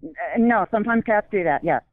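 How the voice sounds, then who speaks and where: A woman's voice: mid-range voice, lively intonation, moderate pace. A woman working as a store employee, giving curt answers to a customer over the telephone. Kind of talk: customer service phone call. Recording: tinny, narrow phone line.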